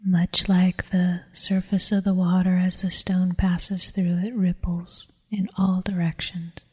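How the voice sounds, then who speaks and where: A woman leading a guided meditation in a soft, whispery, breathy voice, calm and soothing, with long unhurried pauses. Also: on the low side. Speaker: meditation teacher. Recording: long reverberant hall echo.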